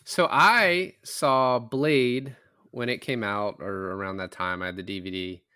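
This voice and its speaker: A man's voice: fairly low pitch, steady tone, measured pace, pushed and bright, clean tone, dry recording, studio quality. A man co-hosting a podcast, discussing films casually in loose, meandering phrases. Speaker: podcast co-host.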